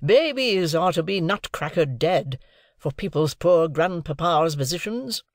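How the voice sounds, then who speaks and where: A woman's voice: sits low, lively intonation, measured pace, dry recording, studio quality, voice pushed up. A woman voicing a helpless, exasperated family man in an audiobook, remonstrating in desperate exclamations. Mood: desolate desperation. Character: helpless, exasperated gentleman, desperate father of a household. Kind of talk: audiobook character acting.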